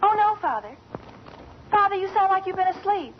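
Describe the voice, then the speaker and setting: A woman's voice: very high voice, expressive, moderate pace. A woman acting a self-absorbed American teenage daughter in a 1940s radio comedy, gushing and rambling. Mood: urgent, chattering. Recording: muffled old radio with faint noise.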